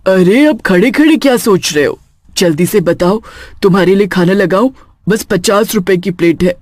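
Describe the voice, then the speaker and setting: A woman's voice: mid-range voice, expressive, normal speed, a little mellow, no echo, studio quality, close to an everyday voice. A woman voicing a lonely female witch who runs a small food stall, coaxing yet menacing, in a cartoon horror story.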